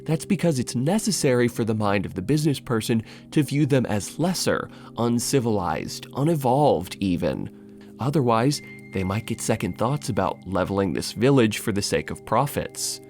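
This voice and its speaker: A man's voice: medium pitch, very animated, normal speed, darkish tone, faint music behind. A man narrating a scripted video essay as its host, in a wry, sardonic, casually sweary way.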